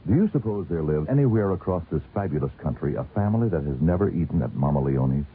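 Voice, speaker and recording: A man's voice: extremely low pitch, expressive, fast talker. An American man delivering a persuasive scripted radio commercial in mock-pitying, rhetorical style. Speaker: advertising announcer. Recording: narrow vintage radio with music. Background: music.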